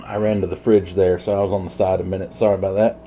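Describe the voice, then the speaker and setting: A man with a fairly low voice talks over CB radio. The signal is dull with clear noise behind it, and he keeps up casual operator chat. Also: little pitch movement, racing. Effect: CB radio transmission. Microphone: CB radio microphone.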